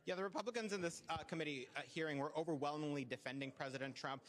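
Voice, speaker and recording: A man reporting live on a political hearing, brisk with frequent filler uhs. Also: a little high, fairly bright tone.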